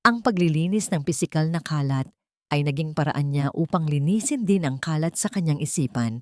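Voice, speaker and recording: A woman's voice: deep voice, expressive, moderate pace, mellow, no echo, studio quality. A woman with a Filipino accent reading a scripted dramatic story as narrator.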